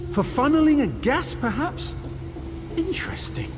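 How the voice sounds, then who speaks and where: An actor playing a fussy, curious and anxious scholarly tinkerer in a video game, speaking in a formal, exclamatory way. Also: male voice, very high voice, wildly swooping pitch, measured pace, background noise, full character voice. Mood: curious, musing. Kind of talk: video game character dialogue.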